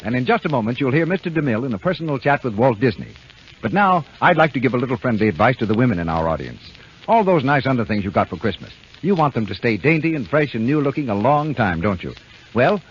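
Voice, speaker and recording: A man's voice: mid-range voice, slight background noise. Persuasive man reading a radio ad. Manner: smooth persuasive announcer delivery. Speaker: radio announcer. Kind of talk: scripted ad read. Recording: dull lo-fi 1930s radio recording.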